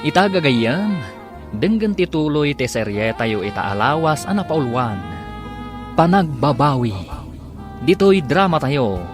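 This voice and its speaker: A man's voice: on the high side, sing-song, unhurried, long pauses. Male radio drama announcer over music. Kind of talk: scripted radio drama narration.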